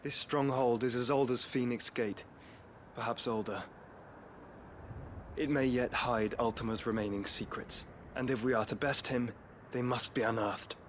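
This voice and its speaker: An actor playing an earnest, gentle young nobleman in a video game, speaking formally and in a scholarly, well-spoken way. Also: mid-range voice, steady tone, moderate pace, slight background noise, natural voice. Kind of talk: video game cutscene acting.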